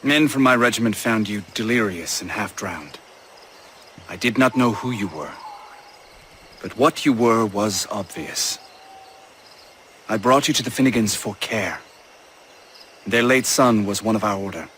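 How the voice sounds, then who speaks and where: Deep, formal British army colonel. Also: male voice, fairly bright tone, slight background noise. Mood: measured, assured. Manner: formal, measured, courteous. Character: dignified male British colonial army colonel, measured and honourable. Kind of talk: video game cutscene acting.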